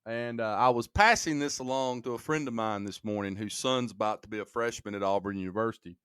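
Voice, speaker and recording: Brief man, podcast guest: smooth, clear voice in a dry room, halting and full of restarts, livens up when he tells stories. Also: on the low side, dry recording. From Alabama, US. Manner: halting, with many restarts and fillers; lively storytelling. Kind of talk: casual conversation.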